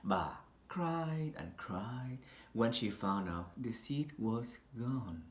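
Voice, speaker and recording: A man reads a picture book aloud in a roomy, echoing space with faint noise behind him. His delivery is very slow and deliberate, with dramatic pauses and lively rises and falls that carry the storytelling. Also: medium pitch. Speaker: storybook reader. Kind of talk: read-aloud children's picture book narration.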